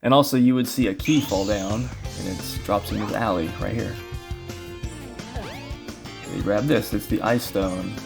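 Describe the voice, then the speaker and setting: A man with a fairly low voice commentates casually on his video game run. He rambles and thinks aloud as he plays. Game music plays clearly behind him. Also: unhurried, long pauses. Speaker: speedrun player and commentator.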